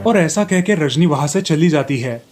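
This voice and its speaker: Higher-pitched man narrating a children's story. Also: lively intonation, moderate pace, darkish tone, faint music behind.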